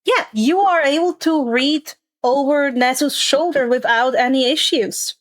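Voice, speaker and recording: A woman's voice: high voice, unhurried. A woman narrating a tabletop role-playing game as game master.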